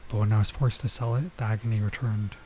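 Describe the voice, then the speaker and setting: A man's voice: fairly low pitch, steady tone, fast talker, warm tone, slight background noise. A man streaming a visual novel, reading it aloud and dropping in casual asides. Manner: stops to comment and translate Japanese phrases. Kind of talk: let's play read-aloud with casual asides.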